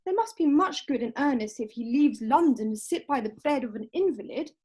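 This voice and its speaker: A woman's voice: sits high, expressive, fairly quick, warm tone, breathy edge. A woman playing a sweet, bossy young Victorian girl, effusive and coaxing, in an amateur play reading. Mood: pleading, insistent. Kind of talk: amateur play read-through.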